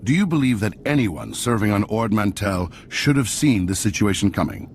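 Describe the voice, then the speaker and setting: An actor playing a male senator who asks measured, insinuating questions. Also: lowish voice, expressive, a little hiss or hum.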